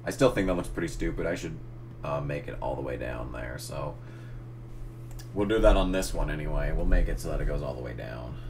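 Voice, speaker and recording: Young man, low voice going hoarse, casual self-narrating editor who swears at the screen. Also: a little hiss or hum. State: losing his voice. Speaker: gaming channel host. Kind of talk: casual tutorial commentary while editing.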